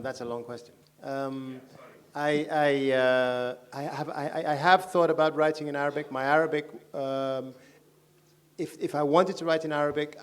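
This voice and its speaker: Brief: man, panel novelist, thoughtful, restarts often, gravelly. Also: mid-range voice. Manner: thoughtful, halting, with many restarts. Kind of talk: panel discussion. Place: large festival stage.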